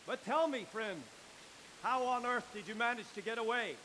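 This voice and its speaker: A man's voice: very high voice, normal speed, pushed and bright, a little hiss or hum. A man playing a wry, hospitable old castle lord in a medieval video game, calling out across a distance. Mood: wry, friendly. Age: older. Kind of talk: dramatic video game acting.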